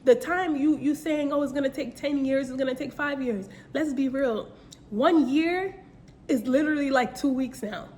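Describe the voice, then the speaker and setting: A woman's voice: high-pitched, normal speed, darkish tone, slight background noise. A young adult woman giving an informal, earnest motivational talk, addressing listeners directly with repeated phrases and rhetorical questions. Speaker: motivational speaker.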